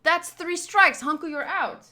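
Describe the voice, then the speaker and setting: A woman livestreamer chatting casually to her viewers in a playful, teasing and animated way. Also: extremely high pitch, measured pace. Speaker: streamer hosting a game playthrough.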